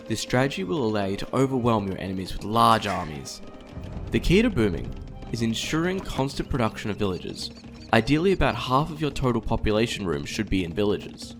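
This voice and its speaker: A man reading scripted tutorial narration for a strategy game, instructing step by step. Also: fairly low pitch.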